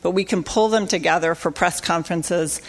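A woman's voice: lowish voice, normal speed, rough recording. A woman organizer giving a conversational conference talk about faith-based advocacy, with many fillers and restarts.

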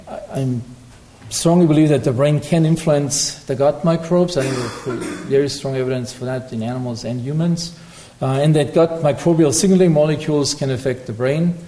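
A man giving an academic lecture and answering questions, pausing often with ums and restarts. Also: mid-range voice, warm tone. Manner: hesitant, frequent ums and restarts. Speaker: lecturing researcher.

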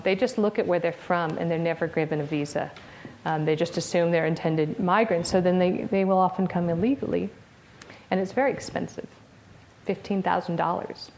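A woman's voice: fairly low pitch, darkish tone. A woman giving an academic talk to an audience in a conversational way, with frequent fillers and restarts. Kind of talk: academic lecture with audience questions. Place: lecture room with audience.

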